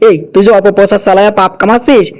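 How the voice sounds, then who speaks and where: A man's voice: high voice, fairly even intonation, racing. A man playing an indignant village uncle in a cartoon, hectoring in a Kushtia accent from Bangladesh. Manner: hectoring, rhetorical scolding. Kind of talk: animated cartoon dialogue.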